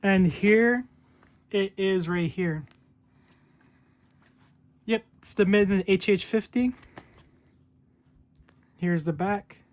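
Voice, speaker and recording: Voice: medium pitch, slow, long pauses. A video host talking casually through an unboxing, showing things step by step in an informal way. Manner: informal step-by-step show-and-tell.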